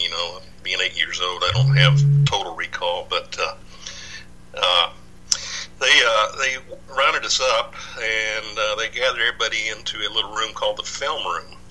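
A man's voice: fairly low pitch, normal speed, bright, forceful tone, low-quality recording. A man, telling his story as a podcast guest, rambling with lots of fillers and restarts. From Dallas, Texas. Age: 60s. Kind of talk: casual interview.

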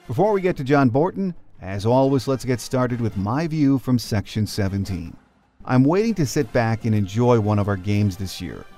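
A man's voice: fairly low pitch, warm tone. An American man hosting a sports podcast, giving prepared commentary and introducing his interview.

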